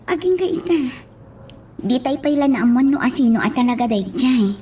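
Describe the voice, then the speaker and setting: Casting: chatty, teasing Ilocano woman, high voice, radio drama with faint birdsong ambience. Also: lively intonation, normal speed, warm tone, a little hiss or hum. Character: chatty woman hinting at a birthday surprise.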